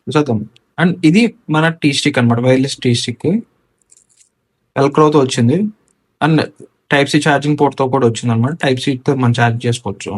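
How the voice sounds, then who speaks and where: A man with a Telugu accent giving a casual hands-on product walkthrough as a gear reviewer, mixing in English technical words. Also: mid-range voice. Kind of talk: casual unboxing and installation walkthrough.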